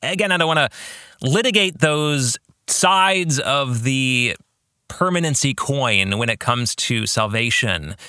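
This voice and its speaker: A man speaks as a podcast host in a bright, forward voice with animated rises and falls. His phrasing is deliberate and earnest, like someone thinking through religious questions for listeners. The sound is close and very dry, with no room around it. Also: medium pitch, dry recording. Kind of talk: reflective religious commentary on a book.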